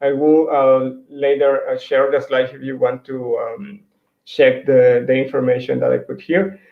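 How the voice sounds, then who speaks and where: A man's voice: mid-range voice, flat delivery, a little slow, vocal fry. Hesitant man. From Mexico. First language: Spanish. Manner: hesitant, many restarts and fillers. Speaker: conference speaker. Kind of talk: virtual tech talk with slides. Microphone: computer mic on a video call. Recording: roomy, dull lo-fi sound.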